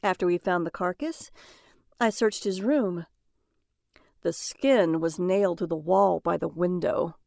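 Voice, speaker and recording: An actress playing a sharp-tongued, easily distraught housewife and mother in an audio drama. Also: fairly low pitch, measured pace, vocal fry, dry recording, clean studio sound. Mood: distressed, accusing.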